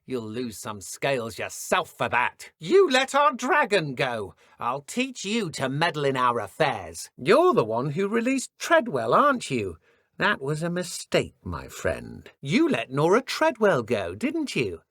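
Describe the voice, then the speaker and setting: Menacing female dark wizard, video game villain, taunting, theatrical, sharp forceful tone, very dry close sound. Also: sits low, huge pitch swings, unhurried, no room sound, full character voice. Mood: cold menace. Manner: mocking, threatening, theatrical. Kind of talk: video game combat barks.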